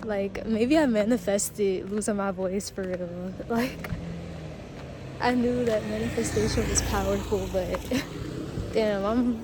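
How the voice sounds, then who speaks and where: A woman's voice: mid-range voice, fairly even intonation, takes it slowly, background noise. A young woman vlogging casually while sick, her voice weak, chatting with lots of fillers and switching between English and learner Korean. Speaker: vlogger and language student.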